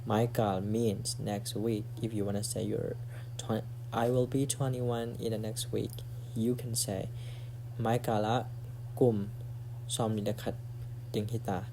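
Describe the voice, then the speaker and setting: A man teaching a language lesson in a casual, patient way, slowly repeating phrases for learners to practice. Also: lowish voice, level tone, gravelly, dark tone, a little hiss or hum, poor audio.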